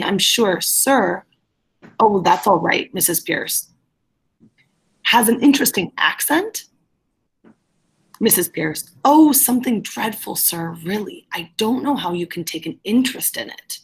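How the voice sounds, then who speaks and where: A woman teacher with a husky voice reading a play script aloud and directing readers in an online class. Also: mid-range voice, poor audio.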